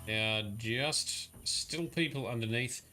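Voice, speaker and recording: Brief: male streamer, bright tone, chatty and self-correcting, playing along with chat. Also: medium pitch, slow pace, soft background music. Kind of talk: live gameplay commentary.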